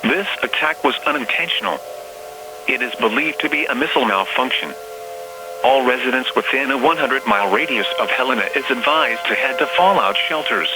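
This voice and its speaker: A man's voice: mid-range voice, expressive, fairly quick, music in the background. A man reading formal, impersonal scripted announcements for an emergency radio broadcast. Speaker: weather radio and alert announcer. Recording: noisy radio broadcast with hum.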